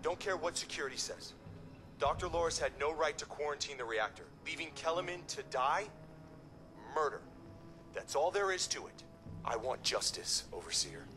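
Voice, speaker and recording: A man's voice: fairly high pitch, expressive, normal speed, natural voice. Guy playing a bitter robot worker in a game, punchy swinging delivery, music and noise behind. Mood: indignant. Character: bitter male robot worker demanding justice. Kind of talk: video game character acting.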